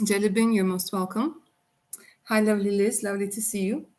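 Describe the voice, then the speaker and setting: A woman's voice: mid-range voice, fairly even intonation, brisk, darkish tone. A woman doing a live tarot reading on a stream, chatty and affectionate, calling viewers darling. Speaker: tarot reader.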